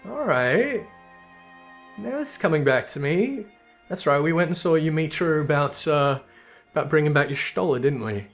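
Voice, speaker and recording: A man's voice: a little high, animated, normal speed. Casual man with music behind. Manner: informal, sweary asides. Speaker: video game player and commentator. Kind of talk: casual gameplay commentary and narration reading.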